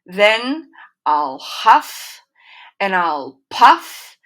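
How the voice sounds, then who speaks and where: A woman's voice: mid-range voice, very animated, extremely slow, full character voice. A woman voicing a big, bad, greedy male wolf who bellows threats. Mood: demanding. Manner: loud, blustering threats.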